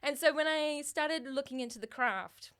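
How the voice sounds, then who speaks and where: A woman with a New Zealand accent, a podcast guest and actor, telling stories in an animated, casual chat and acting out the voices of people she quotes. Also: high-pitched, moderate pace.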